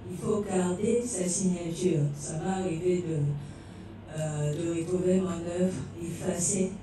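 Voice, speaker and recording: A woman answering on a panel as a comic artist, speaking hesitantly with frequent pauses. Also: fairly low pitch, noisy background. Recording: very echoey room, distant mic, music.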